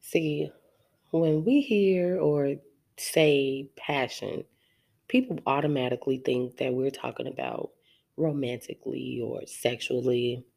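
Low-pitched woman host, nasal from a cold, talking casually and laughing to her listeners. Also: slow pace, gravelly, a little mellow, slightly rough. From Arizona. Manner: chatty, laughs often, addresses listeners directly. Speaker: podcast host. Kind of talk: casual solo podcast monologue.

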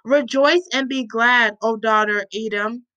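A woman's voice: on the high side, unhurried, bright, forceful tone, dull audio. A woman reading scripture aloud with casual, informal asides, often stopping to correct herself.

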